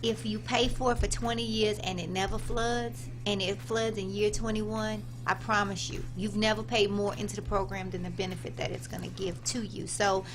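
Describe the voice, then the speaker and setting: A woman with a mid-pitched voice talks as an expert guest in a radio studio. She explains things like an agent advising a client. Her manner is emphatic and insistent. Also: flat delivery, moderate pace, few pauses. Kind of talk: explanatory radio interview talk.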